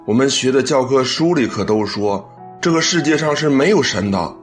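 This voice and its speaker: A man's voice: medium pitch, lively intonation, normal speed, soft background music, lightly acted. A man acting a devoted, caring young adult son in a Chinese radio drama, speaking earnestly and expressively. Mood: curious.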